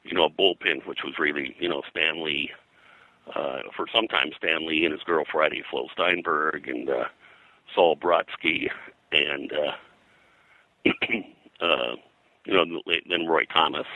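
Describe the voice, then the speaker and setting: An older man with a very low-pitched voice and a hard, bright edge, recorded dry and close. He reminisces in a casual interview in a rambling way, often halting, restarting and repeating phrases. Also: no echo, poor audio. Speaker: interview guest, veteran comics production artist.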